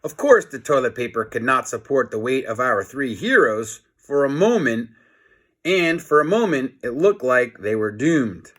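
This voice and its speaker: A man reading a children's story aloud in an expressive, playful way, with dramatic pauses and asides to the listeners. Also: mid-range voice.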